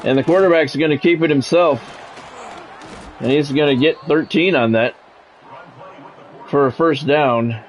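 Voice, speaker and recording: A man's voice: a little high, a little slow, pauses often, slight background noise, poor audio. A man streaming a football video game, mixing sports play-by-play with casual, salty chat to viewers and swearing freely.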